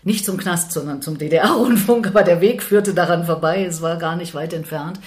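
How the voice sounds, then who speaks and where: A woman's voice: on the low side, moderate pace, a little mellow. A woman in her sixties from Thuringia, hosting a podcast interview with curious, easy questions. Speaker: podcast host. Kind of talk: conversational interview.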